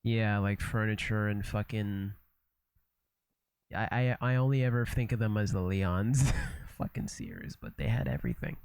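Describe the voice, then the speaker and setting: A man's voice: fairly low pitch, lively intonation, unhurried, darkish tone, clear, resonant tone, no echo. A young man from Canada chatting casually and loosely on a livestream, swearing, repeating himself and trailing off as he reacts to a video.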